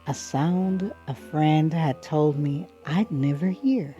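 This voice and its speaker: A woman with a lowish, mellow voice reads a children's story aloud. Her delivery is unhurried and full of rises and falls, with dramatic pauses. Music plays behind her. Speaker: children's book reader. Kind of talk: expressive read-aloud storytelling.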